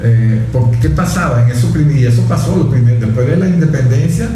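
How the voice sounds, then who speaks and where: A man with a Venezuelan accent discussing politics conversationally, haltingly, with many false starts and repeated words. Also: mid-range voice, expressive, dark tone. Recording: very echoey room with clear noise.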